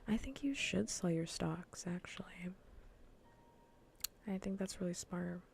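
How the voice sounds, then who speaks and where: A woman whispering softly and breathily in an ASMR gaming stream, playfully bossy and dryly sarcastic, close to the microphone. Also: on the low side, expressive, measured pace, creaky, a little hiss or hum. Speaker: livestreamer.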